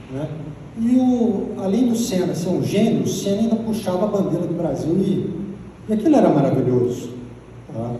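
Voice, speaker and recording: A man's voice: on the high side, dark tone, slight background noise. A Brazilian man giving a talk to an audience, chatty, often ending phrases with tag questions. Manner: conversational, frequent tag questions. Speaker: guest speaker. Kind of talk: lecture with audience Q&A. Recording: distant mic in reverberant hall.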